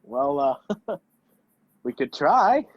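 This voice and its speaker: A man playing a determined, wrongly accused gentleman on the run in an amateur radio play, over a video call. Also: on the high side, very animated, extremely slow, breathy edge, dry recording, exaggerated voice. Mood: wry. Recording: dull, lo-fi video-call audio.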